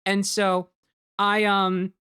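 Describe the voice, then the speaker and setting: A woman giving casual group commentary as host, talking in a halting, rambling way and swearing freely. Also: medium pitch, little pitch movement, pushed and bright, clean tone, no room sound, studio quality. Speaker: host and director of a fan dub, giving cast commentary. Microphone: close mic on an online voice call.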